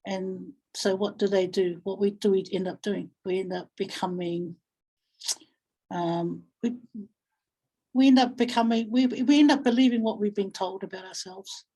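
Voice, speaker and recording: A woman giving a reflective talk as a guest speaker, telling stories and thinking aloud with halting, repeated phrases. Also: mid-range voice, mellow, slightly rough, poor audio. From Samoa or Samoan community in New Zealand. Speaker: guest speaker, family violence practitioner. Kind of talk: reflective webinar talk, storytelling.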